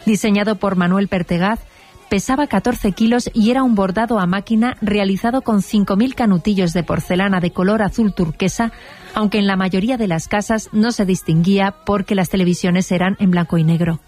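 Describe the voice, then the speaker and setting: A woman narrating a documentary in an even, informative scripted read. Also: mid-range voice, brisk, soft background music.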